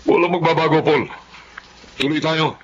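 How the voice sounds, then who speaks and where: A man playing a hot-tempered, bossy gang-leader burglar in a gruff manner, in a Filipino radio drama. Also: fairly high pitch, fairly even intonation, moderate pace, natural voice. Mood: angry, impatient. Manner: gruff, bossy, easily angered. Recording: dull lo-fi audio with faint noise.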